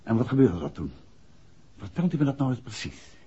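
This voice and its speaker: An actor with a Netherlands Dutch accent playing a polite, composed private detective in a 1960s radio play. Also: male voice, medium pitch, big pitch swings, warm tone, husky. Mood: courteous, probing. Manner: polite, measured, inquisitive. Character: courteous, composed male private detective, married. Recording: muffled old radio recording.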